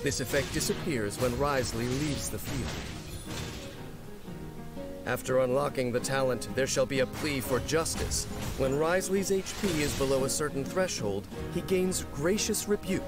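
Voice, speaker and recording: A man reading scripted promotional narration for a video game in a measured, articulate, polished way. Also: mid-range voice. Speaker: narrator of game character guide. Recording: loud music under the voice. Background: game music.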